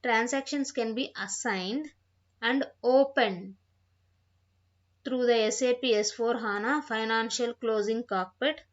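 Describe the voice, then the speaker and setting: A woman giving an instructional software tutorial, reading out points and repeating phrases as she explains them, on a dull, lo-fi microphone. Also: on the high side, slow. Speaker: software trainer.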